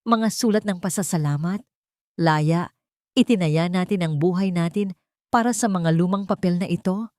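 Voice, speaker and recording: A woman's voice: lowish voice, normal speed, dark tone, no echo, studio quality, lightly acted. A woman voicing an anguished, defiant grown child in an audio story, emotional and exclaiming. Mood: dismayed. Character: anguished, defiant adult child, narrator of the tale.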